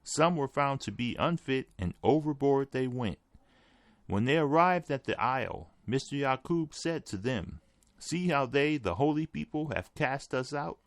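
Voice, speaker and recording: A man's voice: mid-range voice, lively intonation, unhurried, dry recording. A man reading a written article aloud as scripted narration. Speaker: article reader.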